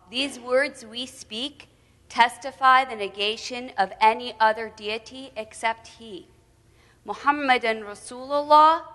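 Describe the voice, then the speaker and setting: A woman delivering a prepared spoken reflection, deliberate and solemn, in poetic phrases. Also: mid-range voice, pushed and bright. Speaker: speaker telling a personal faith story. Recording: long hall reverberation.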